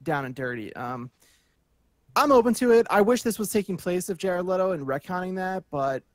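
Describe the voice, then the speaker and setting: A man's voice: sits high, expressive, moderate pace, no echo, clean studio sound. A man chatting casually as a panelist, thinking aloud with hesitant phrasing. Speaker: panelist on a movie news talk show. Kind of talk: casual chat.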